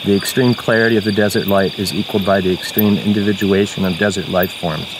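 A woman giving a measured, literary reading of descriptive nature writing. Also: extremely low pitch, fairly even intonation, background noise.

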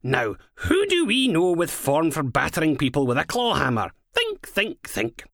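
Man putting on a brash, sweary Scottish policewoman, pitched up. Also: wildly swooping pitch, normal speed, vocal fry, a bit bright, dry recording, studio quality, exaggerated voice. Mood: scheming, needling. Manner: sweary, sardonic, uses Scottish slang. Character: brash, foul-mouthed, cynical female police inspector, scornful and impatient.

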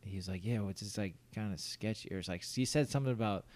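A man's voice: lowish voice, fast talker, darkish tone. A young man co-hosting a casual podcast, telling rambling stories full of fillers and restarts, laughing often and acting out his own thoughts. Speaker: podcast co-host. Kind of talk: casual chat.

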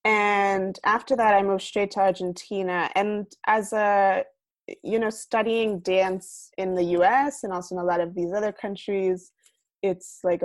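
A young woman from the United States, a guest artist, talking reflectively in an interview and often trailing off quietly. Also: medium pitch, no echo. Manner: thoughtful, many fillers and restarts, trailing off quietly.

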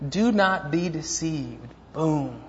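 A man's voice: fairly high pitch, expressive, slow. A man preaching a sermon as pastor, rambling and self-deprecating, with many fillers and restarts. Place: church. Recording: dull lo-fi church recording with long reverb.

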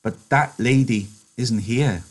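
A man voicing a slow-witted, gentle big farmhand who stammers and pleads like a child. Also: medium pitch, voice pushed up, low-quality recording. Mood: pleading.

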